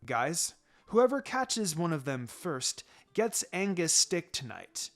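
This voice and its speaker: A man voicing a calm, dry adult ninja teacher in a story reading, with a low-key, gently chiding manner. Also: slow, full character voice. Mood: dryly teasing.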